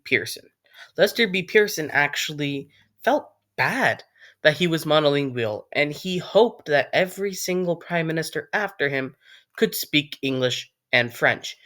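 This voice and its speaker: A man's voice: fairly high pitch, a bit bright. A young man narrating a casual explainer video with jokey, sarcastic asides. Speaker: video creator.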